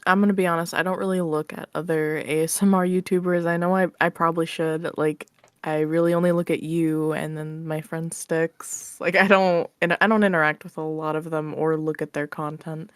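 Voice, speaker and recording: A woman's voice: deep voice, little pitch movement, fairly quick, clean tone, dry recording. A woman answering interview questions as a guest in an informal, hedging, self-effacing way. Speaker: podcast guest, ASMR roleplay creator.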